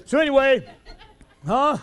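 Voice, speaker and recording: A man preaching a folksy sermon to a congregation, joking and laughing between lines and breaking into shouts at his high points. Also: expressive, a little slow.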